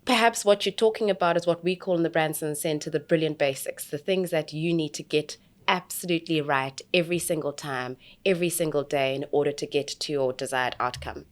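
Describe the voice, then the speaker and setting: A woman interviewer and coach asking a guest about business in a conversational, lively way. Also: sits low, a little fast, hardly any pauses. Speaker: interviewer, coach at entrepreneurship centre.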